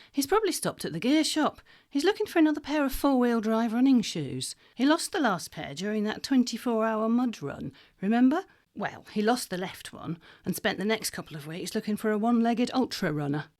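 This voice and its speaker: A woman's voice: a little high, very animated, a little fast, a little mellow. A woman playing a wry, teasing shop worker in an audio drama, practical and mischievous, with a dry manner.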